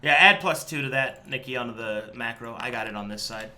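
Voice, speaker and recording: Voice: mid-range voice, fairly quick, noisy background. A playful, exclamatory game master running an online tabletop game over a group voice call.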